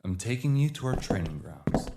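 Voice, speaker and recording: A man playing a courteous, formal leader of a secret organization in an audio drama, speaking in a measured, polite way. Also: on the low side, sing-song, creaky, very noisy. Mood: calm, explanatory.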